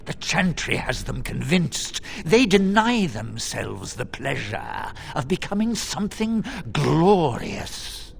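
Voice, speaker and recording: An actor playing a smug, power-mad, demon-possessed mage villain in a video game, speaking with theatrical, condescending emphasis. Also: male voice, a little high, wildly swooping pitch, slow, creaky, few pauses, breathy edge, heavily acted. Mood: fervent, grandiose. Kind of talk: dramatic video game acting.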